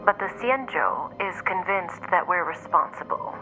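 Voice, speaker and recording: A woman's voice: fairly low pitch, animated, moderate pace, pushed and bright, soft background music, poor audio. A woman playing an unruffled, enigmatic outlaw in a video game, cool and matter-of-fact. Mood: cool, assured. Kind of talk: dramatic video game voice acting.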